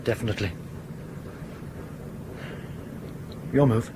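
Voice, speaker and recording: A man's voice: mid-range voice, racing, background noise, lightly acted. A man playing a polite but insistent, frustrated airline captain in a radio drama, sceptical and questioning. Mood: matter-of-fact. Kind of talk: broadcast radio drama acting.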